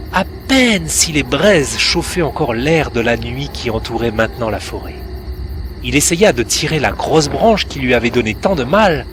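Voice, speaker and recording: A male storyteller reading a children's tale slowly, letting each phrase breathe. His voice is fairly high for a man and swings widely in pitch with lively expression. A faint hum of background noise sits under the narration. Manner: slow, expressive and gentle.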